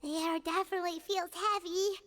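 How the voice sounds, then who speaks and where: A woman voicing a small, excitable, childlike companion creature in a video game, squeaky and exclamatory. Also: a little fast. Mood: uneasy, puzzled.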